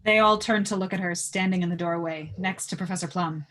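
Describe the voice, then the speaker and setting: A woman's voice: fairly low pitch, fairly even intonation, a little fast, a bit bright, a little hiss or hum. A woman reading stage directions as narrator in a live table read of a script.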